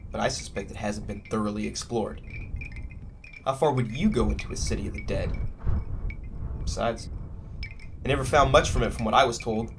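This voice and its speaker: A man's voice: mid-range voice, a bit bright, a little hiss or hum, lightly acted. A man playing an adventurous, earnest young crewman in a science fiction audio drama, talking in a casual way. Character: adventurous young spaceship crewman, earnest persuader with a haunted past. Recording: music playing under the dialogue.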